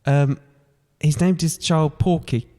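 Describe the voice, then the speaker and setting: A man's voice: fairly high pitch, steady tone, slow pace, warm tone, no echo. A man playing a bumbling, puzzled miser in an improvised comic amateur pantomime, rambling hesitantly in an everyday voice. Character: chatty, bumbling Scrooge, tired and puzzled.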